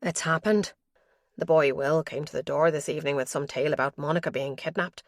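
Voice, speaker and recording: A woman's voice: deep voice, steady tone, a little fast, no room sound, studio quality, close to an everyday voice. A woman voicing an elderly father who pours his fears about his son into a diary, anxious and guilt-ridden.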